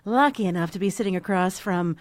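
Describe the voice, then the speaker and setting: A woman's voice: mid-range voice, fairly quick, dry recording. A slightly congested woman hosting a podcast in a studio, upbeat and chatty with lots of fillers. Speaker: podcast host.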